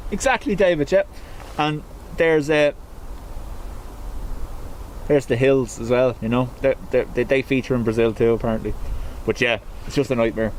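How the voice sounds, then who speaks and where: A man's voice: medium pitch, normal speed, pauses often, a little hiss or hum, rough recording. An Irish man hosting a live stream, chatting casually with viewers and laughing easily as he answers their messages. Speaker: livestream host. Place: outdoors beside an airport.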